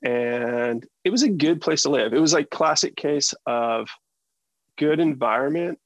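A man's voice: a little high, animated, a little slow, no room sound, rough recording. A man of about forty with an American accent, chatting informally and with slang as a podcast guest. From United States. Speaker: podcast guest, sound engineer. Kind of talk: casual interview chat.

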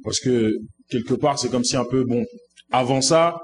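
Relaxed man with a French accent. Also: medium pitch, moderate pace, a little hiss or hum. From France. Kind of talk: casual podcast chat.

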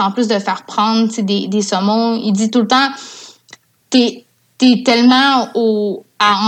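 A woman with a Quebec French accent, an interview guest telling stories in a casual, enthusiastic way. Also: fairly high pitch. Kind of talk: casual conversational storytelling.

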